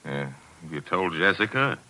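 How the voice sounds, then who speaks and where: A man's voice: sits low, animated, normal speed, sharp tone, full character voice. A man playing a vain, witty middle-aged stage actor in theatrical, arch 1940s radio style. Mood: confiding. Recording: vintage 1940s radio broadcast, music.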